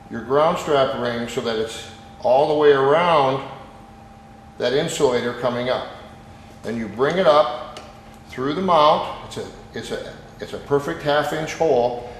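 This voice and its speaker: A man giving a hands-on instructional demonstration as a technician, explaining step by step in plain-spoken language. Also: medium pitch. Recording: very echoey, reverberant room with background noise.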